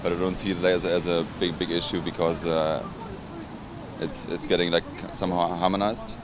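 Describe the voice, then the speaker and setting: A man's voice: deep voice, flat delivery, a little fast. A man answering street interview questions hesitantly, with many fillers. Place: outdoors on a city street. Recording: street noise behind.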